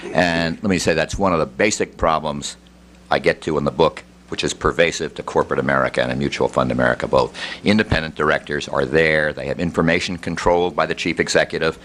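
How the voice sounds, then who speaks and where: A man's voice: a bass voice, fairly even intonation, fast, fairly bright tone. An older man answering at length on a panel, discursive and often restarting his phrases. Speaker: panel speaker and former fund executive.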